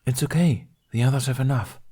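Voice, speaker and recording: A man's voice: medium pitch, brisk, mellow, slightly rough, voice pushed up, natural voice. A man voicing a loyal, stubborn young male thief in a fantasy audiobook, earnest and insistent. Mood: reassuring.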